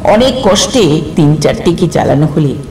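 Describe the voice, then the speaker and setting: A man's voice: on the high side, expressive, normal speed. A man narrating a scripted horror story as a storyteller, with suspenseful, theatrical delivery. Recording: roomy, distant sound with music behind.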